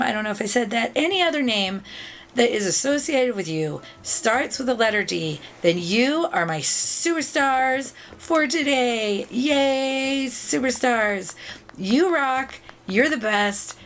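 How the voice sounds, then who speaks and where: Woman host, middle-aged, gravelly sore-throat rasp, chatty and upbeat, faint hiss behind. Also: on the high side. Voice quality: raspy. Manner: chatty, upbeat, thanks viewers often. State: raspy throat after illness. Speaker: video game channel host. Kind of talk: casual chat to viewers.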